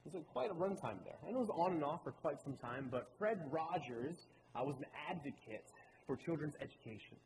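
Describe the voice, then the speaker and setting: A man's voice: a little high, expressive, a little fast. Earnest young man in an echoing hall. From Baltimore, Maryland. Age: late 20s. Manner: earnest, conversational storytelling. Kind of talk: personal talk in a sermon. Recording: reverberant hall with clear room noise.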